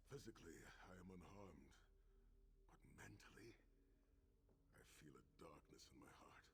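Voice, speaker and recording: Deep-voiced, weary freed warrior. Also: male voice, animated, vocal fry. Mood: bleak. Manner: grave, formal, measured speech. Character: noble weary freed warrior, tormented but honourable. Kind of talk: scripted video game dialogue.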